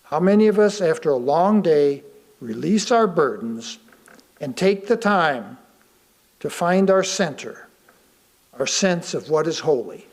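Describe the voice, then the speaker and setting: A man reading a sermon aloud to a congregation, deliberately, pausing between short phrases. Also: fairly high pitch, animated. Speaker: guest speaker reading a sermon.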